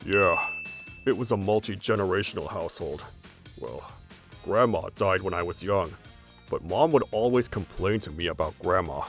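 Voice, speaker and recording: A man's voice: sits low, animated, moderate pace, a bit bright. Young manga husband, played by a man: resentful, blunt, grumbling, music prominent underneath. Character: young husband resentful of his nagging mother. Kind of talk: manga dub dialogue.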